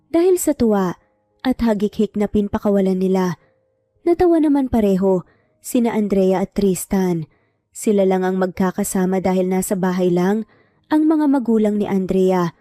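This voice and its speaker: A woman's voice: medium pitch, warm tone, dry recording. A woman with a Filipino accent reading a scripted romance story as narrator. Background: background music.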